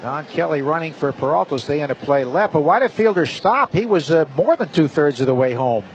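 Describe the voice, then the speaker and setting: A man's voice: fairly high pitch, expressive, fairly quick. A man working as a play-by-play announcer, giving live baseball commentary in the style of a radio broadcast. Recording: slightly dull broadcast, faint stadium noise.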